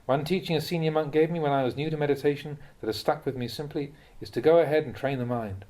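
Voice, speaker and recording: A man reads translated Buddhist teachings aloud in a measured, instructive way with clear phrasing. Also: fairly high pitch, warm tone. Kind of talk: audiobook-style reading of spiritual talks.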